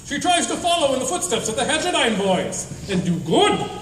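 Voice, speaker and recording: A man's voice: very high voice, sing-song, brisk. A man playing a grandiose, fatalistic adventurer hero in a comic radio drama, declaiming pompously. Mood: grandly resigned. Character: grandiose self-styled hero, cheerfully fatalistic male adventurer. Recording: echoey hall stage, distant, faint noise.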